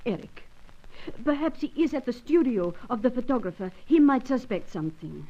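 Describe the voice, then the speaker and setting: Pleading senorita, lo-fi. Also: female voice, a little high, big pitch swings, normal speed. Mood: grateful, soft. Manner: formal, careful foreigner's phrasing, earnest. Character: alluring, pleading Brazilian senorita selling secrets. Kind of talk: old-time radio spy serial acting. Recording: dull, lo-fi radio serial recording.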